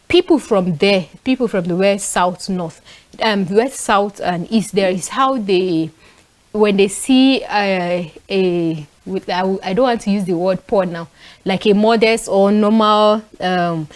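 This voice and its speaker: A young woman from northern Nigeria with a Nigerian accent, speaking as a talk show panelist and telling stories in an animated way, with frequent fillers. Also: medium pitch. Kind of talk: casual panel discussion.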